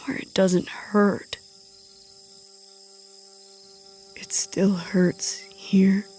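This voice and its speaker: An actress playing a sad, apologetic young woman who speaks quietly and haltingly, sometimes in a whisper. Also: mid-range voice, flat delivery, soft background music. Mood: haunted. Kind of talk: audio drama acting.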